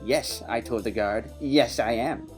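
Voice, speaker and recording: An actor playing a quirky, earnest detective who narrates an audio play in matter-of-fact, nerdy game jargon. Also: male voice, medium pitch, measured pace, soft background music, lightly acted. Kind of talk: scripted first-person audio play narration.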